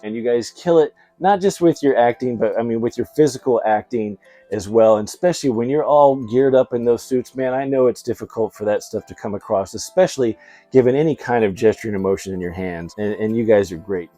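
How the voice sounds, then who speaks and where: A man talks like an enthusiastic vlog host, casual and full of slang. His voice is warm and relaxed at an average pitch. Music plays faintly behind him as he chats excitedly. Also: no room sound. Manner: excitable, slangy, chuckles often. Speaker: host of a toy and movie review channel.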